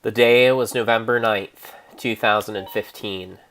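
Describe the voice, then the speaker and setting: A man narrating an improvised story in a theatrical, measured way, pausing between phrases. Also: on the low side, fairly even intonation, fairly bright tone, rough recording. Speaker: streamer, narrator.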